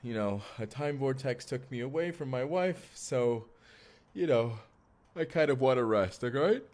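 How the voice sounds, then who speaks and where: A man's voice: mid-range voice, expressive, unhurried, darkish tone, lightly acted. A young man acting a goofy, affectionate husband from the future in an intimate audio drama, speaking casually and hesitantly straight to the listener. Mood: wistful, tired. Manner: casual, hesitant, sighing, talks directly to listener. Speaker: actor in audio roleplay. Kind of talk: first-person immersive audio roleplay, intimate conversational acting.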